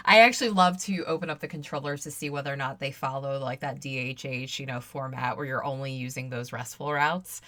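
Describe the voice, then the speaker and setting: A woman speaking as the host of a podcast, in a conversational and clear-worded way. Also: sits low, fairly bright tone, no room sound. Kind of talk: conversational interview with sponsor read.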